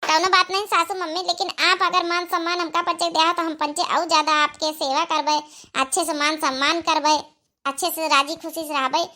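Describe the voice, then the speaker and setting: Woman playing young awadhi bahu in a cartoon, really high bright voice, speaks her mind. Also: level tone, brisk. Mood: conciliatory. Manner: argumentative, repetitive. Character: outspoken young village daughter-in-law standing her ground. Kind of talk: cartoon comedy acting.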